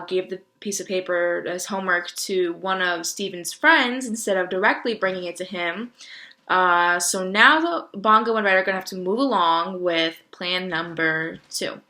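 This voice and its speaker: A woman's voice: lowish voice, bright, forceful tone. A woman reading a children's story aloud and explaining it in casual commentary. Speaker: reader commenting on the book.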